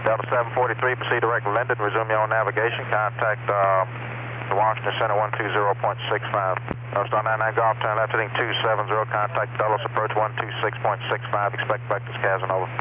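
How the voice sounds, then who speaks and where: A man working as a departure air traffic controller, giving clipped, rapid instructions in radio phraseology. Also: lowish voice, flat delivery. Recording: tinny radio channel with static hiss.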